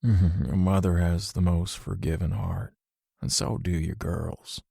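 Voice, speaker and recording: A man voicing a gentle, loving father near death, speaking softly and weakly in a slow, low voice. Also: creaky, breathy edge, no room sound, clean studio sound, heavily acted. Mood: tender. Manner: soft, weak, slow speech. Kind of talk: audiobook character voice.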